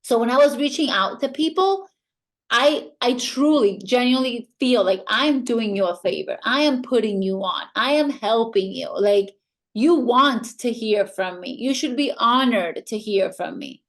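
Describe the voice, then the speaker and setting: A woman's voice: a little high, animated, a little slow. A woman with a foreign accent giving a motivational training talk as a team mentor, emphatic and insistent.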